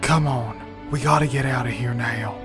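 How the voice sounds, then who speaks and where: A man's voice: mid-range voice, normal speed, over music, lightly acted. A man playing an earnest, smitten young man in an audio drama. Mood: urgent.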